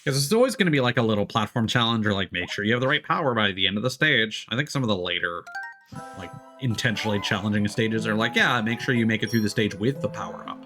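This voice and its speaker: A man's voice: fairly low pitch, expressive, quick pace, sharp tone. A man livestreaming a game with casual commentary, musing aloud in a laid-back way. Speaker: livestream host. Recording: game music behind the voice. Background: video game music.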